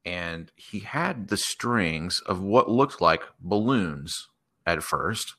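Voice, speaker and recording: A man with a low voice and a bright, forceful edge makes up a story as a podcast guest. He lays it out in measured phrases, pausing as he builds it piece by piece. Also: lively intonation. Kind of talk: improvised storytelling.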